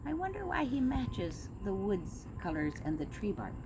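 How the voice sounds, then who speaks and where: A middle-aged woman reads a picture book aloud for young children, her pitch rising and falling in wide, lively swoops. She is gentle and patient and slows down to give little listeners time. The home recording carries a clear layer of background noise. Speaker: teacher reading to children. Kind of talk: storybook read-aloud for kids. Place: at home with her dog nearby.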